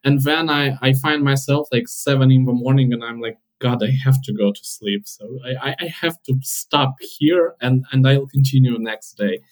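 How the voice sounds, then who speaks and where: A man's voice: medium pitch, fairly even intonation, moderate pace, hardly any pauses, rough recording. A young man in his twenties who is a podcast guest, speaking in a thoughtful, hesitant but enthusiastic way. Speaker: podcast guest, software developer. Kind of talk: casual podcast chat.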